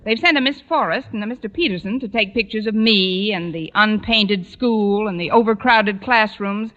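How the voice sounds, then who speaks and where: An actress playing a wry high school teacher in a 1940s radio comedy, delivering dry, sarcastic wisecracks. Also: female voice, moderate pace, fairly bright tone, natural voice. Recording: noisy, muffled vintage radio recording.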